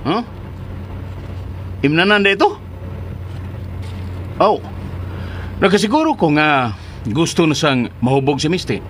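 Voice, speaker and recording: Radio drama: lovestruck male Cebuano schemer, exclamatory, highly animated pitch, faint car noise behind. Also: measured pace, long pauses. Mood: puzzled, suspicious. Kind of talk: Philippine radio drama acting. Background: car ambience.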